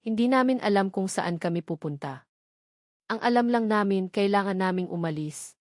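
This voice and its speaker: A woman's voice: medium pitch, animated, normal speed, darkish tone, no echo, studio quality. A woman giving an emotional, melodramatic first-person narration of a scripted drama story.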